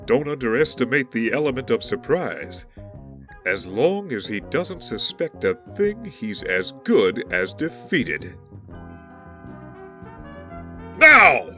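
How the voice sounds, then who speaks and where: Wisecracking young superhero, male actor: very animated, unhurried, heroic and folksy, for a campy audio drama. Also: medium pitch, soft background music. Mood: confident.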